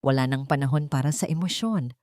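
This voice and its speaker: A woman's voice: a bass voice, fairly quick, a little mellow, no room sound, studio quality. A woman storyteller giving a dramatic scripted narration of a love story.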